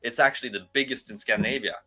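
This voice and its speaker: A man's voice: mid-range voice, rapid-fire, pushed and bright, husky, soft background music, low-quality recording. A man from Norway with a Norwegian accent, rambling through a casual panel chat with many fillers and restarts.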